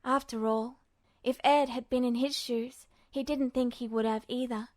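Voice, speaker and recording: A woman's voice: on the high side, fairly even intonation, normal speed, dry recording, clean studio sound. A woman reading scripted fiction aloud as the narrator of a story. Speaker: podfic narrator.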